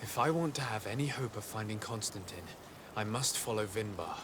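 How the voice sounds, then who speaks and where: A man's voice: on the low side, brisk, fairly bright tone, lightly acted. A man playing an earnest, noble adventurer with polite, formal phrasing in a video game cutscene. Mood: concerned, resolute. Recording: clearly noisy game-audio background.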